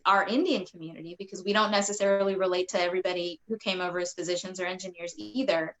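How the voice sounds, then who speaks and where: A woman's voice: lowish voice, fairly even intonation, fast talker, lo-fi sound. Earnest woman legislator on a panel. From Kentucky, US. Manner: earnest, frequent restarts and fillers. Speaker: state representative. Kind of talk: panel discussion.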